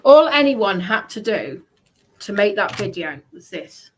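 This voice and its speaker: Woman, medium pitch, very slow pace, defensive, repetitive, casual chat. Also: big pitch swings, breathy edge.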